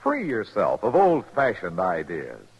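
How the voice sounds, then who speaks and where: A man's voice: fairly low pitch, huge pitch swings, measured pace, muffled recording, crowd noise. A man reading a 1950s radio advertisement as a punchy, salesman-like commercial announcer. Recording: old radio commercial recording. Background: loud music.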